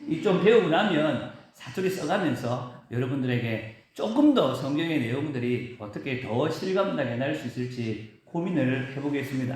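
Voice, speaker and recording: Korean pastor preaching in an echoey church. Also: male voice, fairly high pitch. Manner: preaching with emphatic rises and drawn-out stresses. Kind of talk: sermon. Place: church sanctuary.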